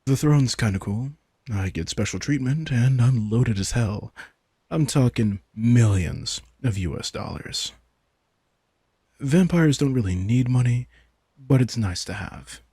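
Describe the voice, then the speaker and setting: A man's voice: medium pitch, lively intonation, a little slow, warm tone, slightly rough, no room sound, studio quality. A man playing a sardonic, laid-back vampire king in an intimate audio roleplay, teasing and manipulative as he speaks casually to the listener. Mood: wry, boastful. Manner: laid-back, wry, teasing, informal swearing.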